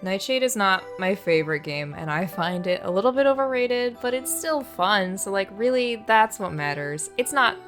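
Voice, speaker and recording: A woman's voice: medium pitch, lively intonation, normal speed, few pauses, fairly bright tone. A woman giving casual review commentary as a video host, chatty, opinionated and self-deprecating. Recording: voice-over with clear background music. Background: music.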